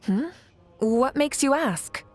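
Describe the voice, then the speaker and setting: A woman's voice: high voice, lively intonation, slow, faint music behind. A woman playing a confident, reassuring leader in a video game, composed and assured. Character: confident adult female leader, calm, reassuring protector of her nation.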